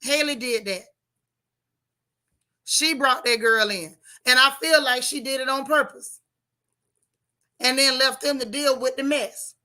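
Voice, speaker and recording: A hoarse woman who hosts a channel. She reviews a TV show in a casual livestream, talking straight to her chat with animated, opinionated takes. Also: sits high, measured pace, long pauses.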